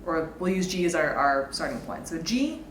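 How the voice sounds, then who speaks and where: A woman's voice: deep voice, very animated, moderate pace, slight background noise. A woman giving an informal guitar lesson, explaining casually and thinking aloud as she goes. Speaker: guitar teacher. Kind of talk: informal instructional talk with demonstrations. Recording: roomy echo, guitar played alongside. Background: electric or acoustic guitar playing.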